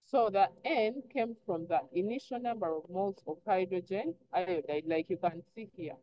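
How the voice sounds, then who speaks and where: A woman's voice: mid-range voice, moderate pace. Woman teaching online, dull lo-fi sound. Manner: instructive, asks prompting questions and answers them herself. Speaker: chemistry teacher. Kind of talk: online lesson, explaining step by step. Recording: dull lo-fi online class audio.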